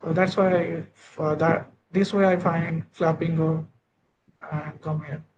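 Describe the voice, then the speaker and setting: A young man with a high voice and an Indian English accent speaks in halting, hesitant bursts, packed with fillers and false starts. He sounds like a learner on a video call, and the audio is dull and lo-fi. A clear, steady noise runs underneath. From Odisha, India. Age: 20s. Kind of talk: online speaking practice session.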